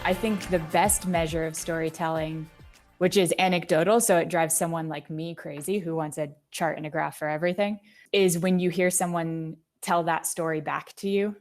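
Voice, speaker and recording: A woman's voice: low voice, steady tone, moderate pace, faint music behind. A woman speaking as a podcast guest, explaining things articulately in a conversational interview style. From United States. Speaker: marketing executive, podcast guest.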